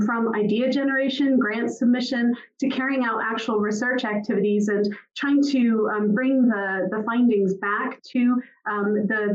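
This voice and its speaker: A woman from near Boston with a Boston American accent, giving a measured panel introduction with frequent filler sounds. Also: a little high, roomy sound, lo-fi sound. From near Boston, United States. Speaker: epidemiology lecturer.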